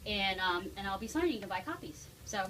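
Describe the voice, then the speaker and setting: Woman giving a casual candid intro talk, medium pitch, far from the mic, noisy. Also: animated, a little fast, a bit bright. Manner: candid, conversational. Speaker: author introducing a book launch. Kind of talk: informal public talk. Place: toy shop event, before an audience. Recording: distant mic, clearly noisy room.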